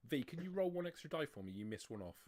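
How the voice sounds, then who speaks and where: A man's voice: a little high, big pitch swings, fast talker, a little mellow, dry recording, studio quality. A man running a tabletop roleplaying game, casually narrating and talking through rules as game master.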